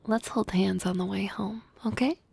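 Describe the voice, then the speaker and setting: Woman playing shy but bold harpy classmate, asmr romance, soft whispery voice w some creak, halting n teasing, super close dry sound. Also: mid-range voice, no echo. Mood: tender, teasing. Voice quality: soft, breathy, often whispering.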